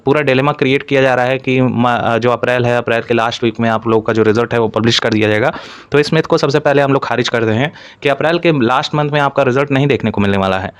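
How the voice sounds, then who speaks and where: Male explainer host, mid-pitched, informal, speaking straight to students, dry recording. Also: quick pace, clean tone, no echo. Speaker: exam-news presenter for job aspirants. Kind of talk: informal explainer narration to camera-style audience.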